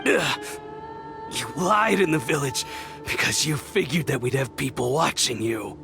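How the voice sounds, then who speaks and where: A gravelly, snarling cult schemer. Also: male voice, mid-range voice, expressive, unhurried, music in the background. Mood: bitter. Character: scheming male cult radical, arrogant and outwitted. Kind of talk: video game cutscene dialogue.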